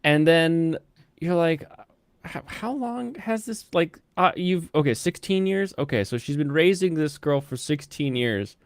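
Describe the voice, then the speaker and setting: A man with a slightly high-pitched voice rambles through an anime review with his friends. He is animated and keeps breaking into mocking impressions of the characters, recorded close on a dry mic. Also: a little slow, clean tone, no echo. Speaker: host. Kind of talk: casual anime review chat.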